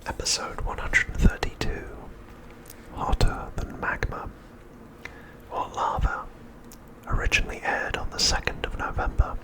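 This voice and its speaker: A man narrating a script as ASMR in a breathy, unvoiced whisper, softly and deliberately, pausing often between phrases. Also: rough recording. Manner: soft, deliberate, with frequent pauses between phrases.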